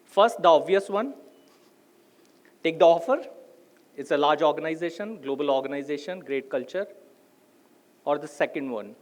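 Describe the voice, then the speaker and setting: A man with an Indian English accent delivers a live motivational talk, his voice sitting noticeably high for a man. He is a native Hindi speaker and keeps turning to the crowd with rhetorical questions. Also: animated, moderate pace, pauses often, darkish tone. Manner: addresses the audience directly with rhetorical questions.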